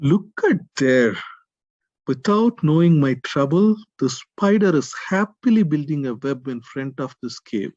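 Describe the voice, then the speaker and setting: A man playing a frightened, devout soldier in a puppet show, speaking in a halting, dramatic monologue. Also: a little high, animated, darkish tone, roomy sound, lo-fi sound. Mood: resigned, pleading. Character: frightened, devout soldier trapped in a cave, praying. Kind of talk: puppet show story acting.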